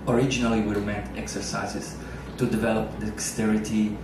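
A man's voice: fairly low pitch, little pitch movement, normal speed, background noise. A man in his thirties, a native Italian speaker, chatting and joking with the audience between piano pieces. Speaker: pianist entertainer. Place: small ship lounge stage, indoors. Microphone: handheld mic, filmed by far camera. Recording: echoey lounge from far off.

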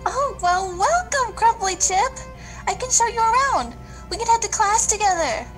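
A woman's voice: very high voice, big pitch swings, normal speed, fairly bright tone, faint music behind, full character voice, poor audio. A woman playing a friendly, perky, outspoken cookie schoolgirl in a children's radio play. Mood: friendly, curious.

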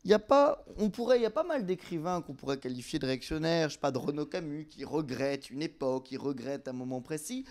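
A man's voice: fairly high pitch, lively intonation, fast, few pauses, a little mellow. A young man asking conversational interview questions, wordy and rephrasing himself as he goes. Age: young adult. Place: indoor room with bookshelves. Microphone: handheld microphone.

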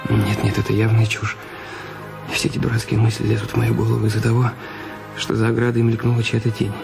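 Raoul, an anxious husband over music. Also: male voice, fairly low pitch, completely flat delivery, quick pace, vocal fry. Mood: uneasy, brooding. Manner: hesitant, faltering, self-questioning. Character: Raoul Duval, anxious, guilty husband with secrets. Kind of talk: Russian radio play acting. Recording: music bed under the voice.

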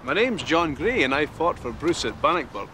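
An actor playing the earnest ghost of a medieval soldier in an educational television re-enactment. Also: male voice, on the high side, very animated, moderate pace, sharp tone, slight background noise. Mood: earnest, matter-of-fact.

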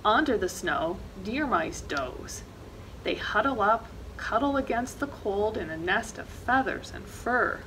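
A woman's voice: medium pitch, lively intonation, unhurried, a bit bright, a little hiss or hum, poor audio. A middle-aged woman reading a children's picture book aloud expressively, with dramatic emphasis on action words. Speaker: librarian reading storytime. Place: indoor library reading corner, seated.

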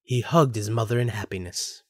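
A man's voice: on the low side, normal speed, breathy edge, dry recording, studio quality. A man narrating a scripted story for an audio drama.

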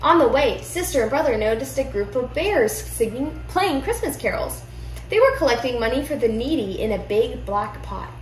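A young woman reads a children's picture book aloud with expressive storytelling in a living room. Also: fairly high pitch, normal speed. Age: young adult. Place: indoor living room at home. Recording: roomy echo, music behind.

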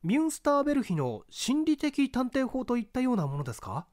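Audiobook junior questioner, man acting: courteous, inquisitive, raised voice with animated melody. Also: very high-pitched, brisk, dry recording, clean studio sound, pitched above the performer's own voice, heavily acted. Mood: curious, questioning. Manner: polite, questioning. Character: inquiring, deferential junior listener questioning a professor. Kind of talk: dramatic audiobook reading of mystery fiction.

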